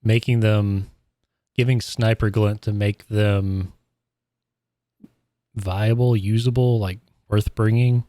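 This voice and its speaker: Mellow-voiced, opinionated man co-hosting. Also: fairly low pitch, fairly even intonation, takes it slowly, long pauses, no room sound. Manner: opinionated, argues his point with hesitant restarts. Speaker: podcast co-host. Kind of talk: casual chat.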